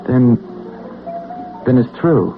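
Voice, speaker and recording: Rattled honest working joe, stammery, voice jumps around, tinny old radio play. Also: male voice, mid-range voice, expressive, takes it slowly, creaky. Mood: dazed, shaken. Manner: hesitant, stammering, defensive. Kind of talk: old-time radio drama acting. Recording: tinny band-limited old radio broadcast, music.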